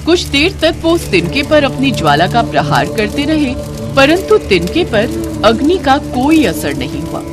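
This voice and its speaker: Female story narrator, expressive swooping intonation, scripted delivery, music behind the voice. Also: high-pitched, unhurried.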